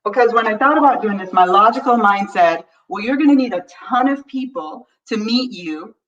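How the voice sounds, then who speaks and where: A woman's voice: mid-range voice, animated, normal speed, fairly bright tone. Woman coaching on a distant lo-fi mic. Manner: earnest, reads viewer comments aloud, frequent "right?". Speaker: money coaching host. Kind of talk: live-stream coaching talk. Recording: distant mic, dull lo-fi sound.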